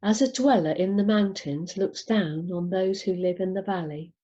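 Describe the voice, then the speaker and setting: A woman reading scripture aloud in a measured, deliberate way, pausing between short phrases. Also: mid-range voice, mellow. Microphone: video call over Zoom. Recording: dull, lo-fi video call.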